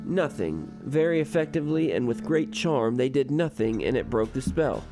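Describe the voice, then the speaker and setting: A man's voice: medium pitch, animated, moderate pace, over music, natural voice. A man playing a witty, urbane college president in a radio comedy, speaking in a wry, formal, eloquent way. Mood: reflective. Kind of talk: radio drama acting.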